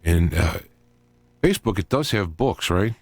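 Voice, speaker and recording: A middle-aged man hosting an informal solo talk radio show, speaking in a halting, digressive way as he thinks aloud. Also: low-pitched, lively intonation, no echo.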